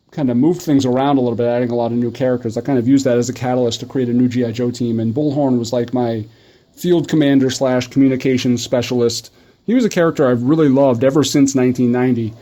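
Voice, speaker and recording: A man's voice: mid-range voice, warm tone, highs cut off. A middle-aged man giving a casual, conversational video review, talking in a rambling enthusiast's way. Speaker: toy review host.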